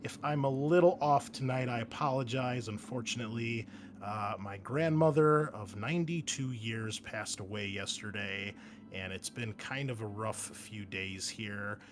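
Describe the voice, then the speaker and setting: A man's voice: lowish voice, a little slow. A man hosting a tabletop game show as game master, chatting casually with joking banter and easy swearing. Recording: noisy background ambience.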